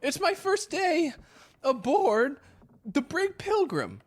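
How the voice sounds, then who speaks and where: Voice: sits high, big pitch swings, slow pace, full character voice. An actor playing an anxious, tired new recruit in an audio drama, hesitant and pleading in casual modern speech. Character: anxious, exhausted young new actor posing as sailor.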